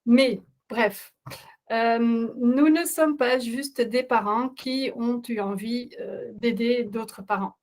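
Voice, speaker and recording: A middle-aged woman with a non-native accent, hosting a webinar as a parenting coach, speaking earnestly with frequent hesitations. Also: fairly high pitch. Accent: non-native speaker of French. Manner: hesitant, many filler sounds, earnest. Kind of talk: webinar presentation.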